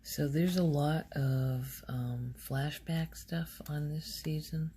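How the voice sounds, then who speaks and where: A soft-spoken woman rambling in a halting, unhurried ASMR style, her quiet voice often close to a whisper. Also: slight background noise. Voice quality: soft, low-volume voice, often near whisper. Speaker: ASMR video host.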